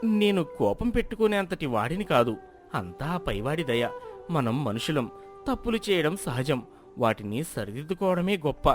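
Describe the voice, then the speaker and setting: A man voicing an honest, gentle, forgiving villager in a moral tale, speaking calmly and earnestly. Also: on the high side, moderate pace, over music.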